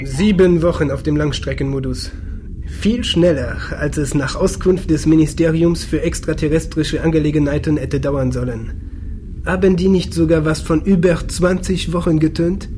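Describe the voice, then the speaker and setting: A man playing a spaceship pilot in a sci-fi radio drama, with a fairly high voice for a man. He reports in exact, technical terms and stays factual. Clear ambient noise surrounds him. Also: normal speed, warm tone, lightly acted. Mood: matter-of-fact. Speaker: voice actor in a sci-fi radio play.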